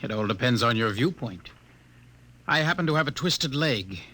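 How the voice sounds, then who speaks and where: A wry outcast in an old sci-fi radio play. His voice is bright and forceful, with dry, articulate, sardonic delivery. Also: mid-range voice, lively intonation, moderate pace. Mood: wry, bitter. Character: wry outcast male human specimen with twisted leg. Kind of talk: 1950s radio drama acting.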